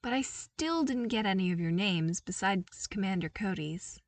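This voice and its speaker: A woman's voice: medium pitch, lively intonation, normal speed, no echo, lightly acted. A woman voicing a cheerful young male engineer with a casual, eager manner in a fan fiction audiobook. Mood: amused, easygoing.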